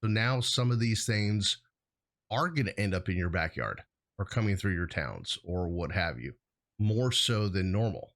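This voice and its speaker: A man's voice: deep voice, expressive, measured pace, a bit bright. An American man co-hosting a discussion show in a casual, conversational style. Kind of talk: casual chat.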